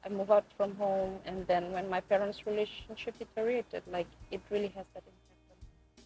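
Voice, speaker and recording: A woman's voice: mid-range voice, level tone, a little fast, dark tone, soft background music, rough recording. A woman in her late twenties from Fiji, giving a personal account as an interview guest. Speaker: podcast guest, postgraduate student. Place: couch in a room.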